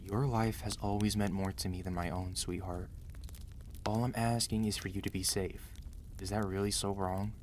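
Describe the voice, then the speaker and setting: Guy doing a lowish voiced mafia boss husband, protective n tender but bossy, intimate asmr roleplay, bit of background noise. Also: fairly even intonation, darkish tone, close to an everyday voice. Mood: firm, pleading. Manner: earnest, commanding yet tender, measured. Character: protective, gentle mafia boss husband, ruthless outside, devoted. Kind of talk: intimate ASMR romance roleplay, speaking to the listener.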